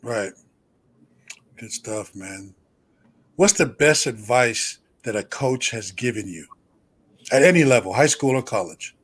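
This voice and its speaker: A man's voice: medium pitch, expressive, unhurried, long pauses, breathy edge. A man hosting an interview, affable and reflective, who often checks in with "right?" as he makes his points. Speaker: interview host. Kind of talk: conversational interview.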